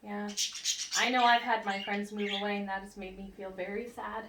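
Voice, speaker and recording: A woman reading a picture book aloud to children in an expressive, teacherly way, pausing to ask questions and explain. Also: medium pitch. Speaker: read-aloud storyteller for children. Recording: roomy, distant mic with faint noise.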